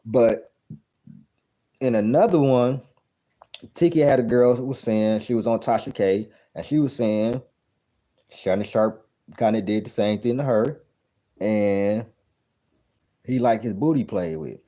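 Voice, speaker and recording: A man in his thirties sounds off in casual, profane commentary, circling back to the same outraged points again and again. His voice sits low and mellow. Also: measured pace, pauses often. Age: 30s. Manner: blunt, profane and incredulous, repeating points. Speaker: reaction video host. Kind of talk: casual commentary reacting to a clip.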